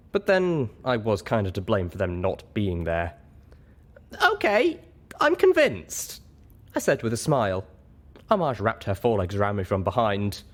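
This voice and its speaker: A man narrating a first-person story in an expressive audiobook reading style. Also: lowish voice.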